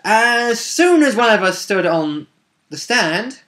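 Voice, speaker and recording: A man's voice: high voice, big pitch swings, takes it slowly, bright, forceful tone. A man casually recounting a tabletop game session, rambling along with wry, informal asides.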